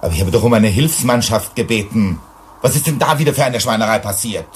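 Deep-voiced, gruff, irascible director played by a man. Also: quick pace, slight background noise, lightly acted. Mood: indignant. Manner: brusque, commanding, indignant. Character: gruff, irascible, authoritarian male mining director on Venus. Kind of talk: German radio drama acting.

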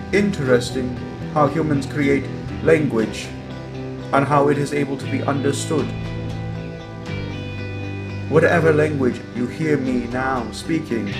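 Evil robot overlord, played by a man for audio roleplay: male, coldly superior, very measured pace, stuttering glitches, music underneath. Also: fairly high pitch, close to an everyday voice. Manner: deliberate, glitching stutters on words. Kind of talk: scripted robot roleplay monologue.

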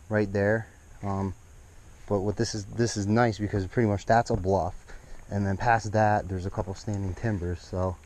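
A man's voice: fairly low pitch, darkish tone. A young man casually narrating his fishing trip on a rocky lakeshore, chatting to the fish and his viewers, recorded on an action camera. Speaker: fishing vlogger. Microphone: action camera, head-mounted and at arm's length.

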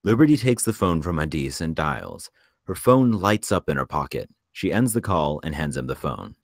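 A man reading screenplay stage directions as narrator, in a measured, descriptive way. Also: a bass voice, very animated, dry recording, studio quality.

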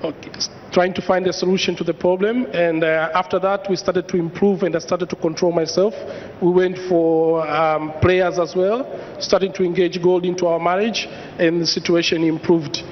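A man from Tanzania giving a hesitant personal testimony in a large church hall, speaking into a handheld mic with many pauses and ums. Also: high voice, little pitch movement, a little hiss or hum. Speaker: church congregant. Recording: dull echoey hall sound.

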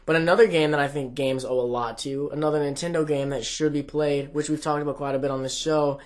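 A young man co-hosting a casual chat show, animated and quick with asides, recorded on a desk mic in a small home studio. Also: fairly high pitch. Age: young adult. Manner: animated, talks with his hands, quick asides. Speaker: co-host. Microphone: desk condenser mic.

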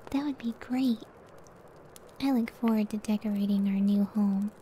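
Very mellow, tender cyclops wife, acted by a woman. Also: a little high, a little hiss or hum, close to an everyday voice, low-quality recording. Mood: pleased, affectionate. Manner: soft, breathy, tender, soothing. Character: gentle, affectionate young cyclops giantess wife. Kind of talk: ASMR roleplay. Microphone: close ASMR microphone.

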